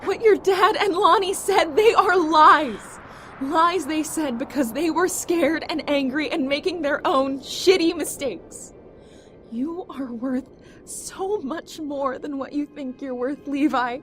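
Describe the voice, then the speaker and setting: A woman's voice: sits high, takes it slowly, a bit bright. A woman playing a fierce, stubborn yet tender young survivor in an emotional audio drama, speaking with earnest, emphatic pleading. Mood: passionate. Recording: dramatic music behind the voice.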